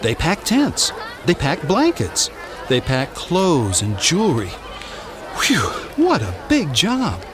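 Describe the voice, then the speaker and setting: A man narrating a scripted children's Bible story in an expressive way, drawing out words for emphasis. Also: fairly high pitch, slow, music in the background, a crowd in the background.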